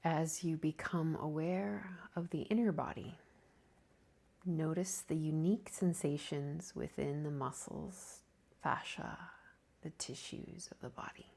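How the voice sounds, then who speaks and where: Slow, low-voiced woman guiding meditation. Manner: calming, gentle, soft-spoken with breathy softness. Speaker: yoga nidra teacher. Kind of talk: guided meditation.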